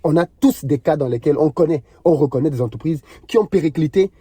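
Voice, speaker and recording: A man's voice: a little high, brisk, a crowd in the background. A man in a panel discussion who argues earnestly and insistently, often with rhetorical questions. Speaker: discussion participant.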